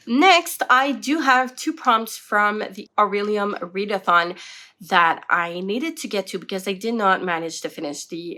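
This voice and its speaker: A woman's voice: medium pitch, pushed and bright. A young woman from French Canada, a native French speaker with a French Canadian accent, chatting casually about her reading list in a rambling way full of fillers. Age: young adult. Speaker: book vlogger. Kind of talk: casual book chat to camera.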